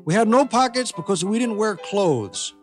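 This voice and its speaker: Storybook grandpa, played by a man: old, eccentric, earnest teller of tall tales, raised pitch, music underneath. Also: expressive, normal speed. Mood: emphatic, boastful. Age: older.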